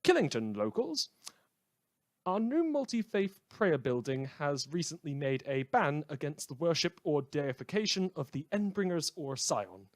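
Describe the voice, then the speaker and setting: A wry, opinionated radio newsreader in an audio drama, acted by a man, with a somewhat high voice that rises and falls dramatically. He delivers scripted bulletins in a theatrical, dryly ironic announcer style. The sound is close and dry. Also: very animated, unhurried, no room sound. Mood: indignant, earnest. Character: wry, opinionated male host of post-apocalyptic community radio. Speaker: radio host.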